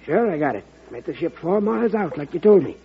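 An actor playing a furtive, bossy smuggler in old-time radio drama, curt and tough. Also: male voice, fairly high pitch, very animated, a little fast, creaky. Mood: gruff, curt. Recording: dull, noisy vintage radio recording.

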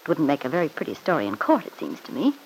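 A woman's voice: low voice, huge pitch swings, racing, a little mellow, a little hiss or hum, exaggerated voice, rough recording. An actress playing a smug, needling married woman in an old-time radio soap opera, with an arch, mock-sweet, taunting manner. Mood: smug, insinuating.

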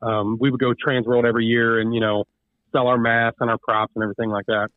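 A man's voice: medium pitch, flat delivery, fast talker, no room sound. A man interviewed as a guest over the phone, answering in a rambling way full of ums and restarts. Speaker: guest, haunted attraction owner. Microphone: phone call. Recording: tinny phone line.

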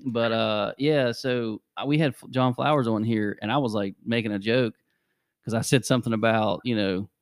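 A man's voice: lowish voice, fairly even intonation, moderate pace, dry recording, studio quality. A man in his forties hosting a casual sports chat, rambling and hesitant, with lots of fillers. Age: 40s. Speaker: podcast host.

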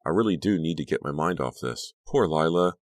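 A man voicing a shaken, guarded high-school girl in an audiobook, hesitant and strained as if holding back feeling. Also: lively intonation, a little fast, no room sound. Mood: defensive. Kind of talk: audiobook character dialogue.

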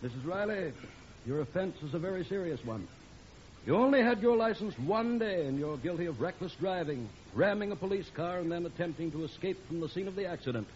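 Stern American judge, muffled recording. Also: male voice, high-pitched, animated, a little fast, darkish tone. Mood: reproving. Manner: formal, authoritative. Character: stern, lecturing municipal court judge. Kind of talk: 1940s radio comedy acting. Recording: muffled, noisy old radio recording.

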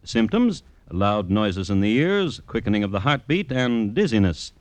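A man narrates a radio drama with wry timing. His voice sits low but moves through a wide range, which keeps the telling animated. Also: normal speed, dry recording. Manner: wry storyteller. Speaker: narrator and host. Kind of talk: scripted narration.

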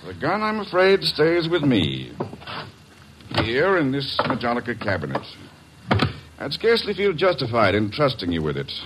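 A smug, manipulative doctor. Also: male voice, medium pitch, huge pitch swings, moderate pace, background noise. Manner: smug, precise, condescending. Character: smug, manipulative sanatorium doctor, middle-aged man. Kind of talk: 1940s radio acting.

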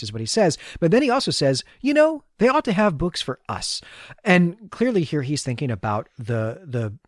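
A man's voice: medium pitch, no room sound, studio quality. A man co-hosting a podcast, talking through a story conversationally and thinking aloud, often repeating words as he works out his point. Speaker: podcast co-host. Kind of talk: conversational literary discussion and story recap.

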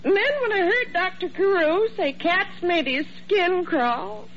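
An actress playing a flustered, plucky nurse with an American accent and an excitable, pleading manner, in old radio drama style. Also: female voice, very high voice, a little slow, a little hiss or hum. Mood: indignant, pleading. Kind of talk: 1950s radio drama acting. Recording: dull old radio recording.

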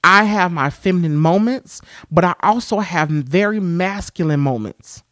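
A man with a high-pitched voice hosting a podcast, talking frankly and expressively with many "you know" fillers. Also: lively intonation, moderate pace, fairly bright tone, dry recording, studio quality. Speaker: podcast host. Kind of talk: candid solo podcast monologue.

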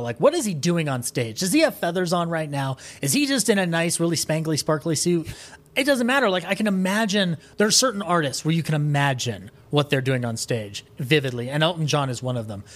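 A man's voice: a little high, expressive, fairly quick, fairly bright tone. A man chatting casually as a podcast guest, rambling enthusiastically and voicing his own thoughts aloud. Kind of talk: casual chat.

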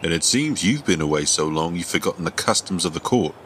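A man's voice: low voice, animated, a little fast, a bit bright, a little hiss or hum. A man playing a stern, pompous court official in a fantasy audio drama, formal and disdainful. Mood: haughty disdain. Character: stern, haughty male court official of a timber throne.

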